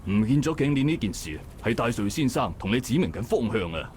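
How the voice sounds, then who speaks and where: An actor playing a calm, steady male dockworker who gently reassures a friend in a visual novel. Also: mid-range voice, a little fast, a little hiss or hum, close to an everyday voice. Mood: gentle reassuring. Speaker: game character. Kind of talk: voiced visual novel dialogue.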